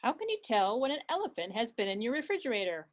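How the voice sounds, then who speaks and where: A woman voicing a rude, joke-telling mad hatter in a puppet show, quick and teasing. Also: a little high, big pitch swings.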